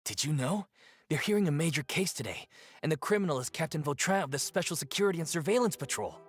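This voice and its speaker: An actor playing an earnest, indignant townsman in a video game, animated and insistent. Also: fairly high pitch, fast talker, slightly rough. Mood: gossipy. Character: indignant, gossiping male townsperson, earnest and excitable. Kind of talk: dramatic video game voice acting.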